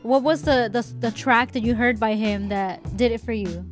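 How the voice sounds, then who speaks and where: A woman co-hosting a radio show, playful and asking short quick questions. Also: fairly high pitch. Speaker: radio co-host. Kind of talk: casual studio radio interview. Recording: music bed playing behind. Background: hip hop music.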